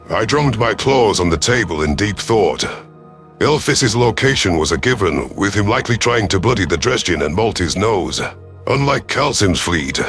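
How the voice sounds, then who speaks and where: A man's voice: lowish voice, fairly bright tone. A man reading a first-person science fiction story as dramatic scripted narration, in the part of a grave alien commander. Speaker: narrator of a sci-fi audio story.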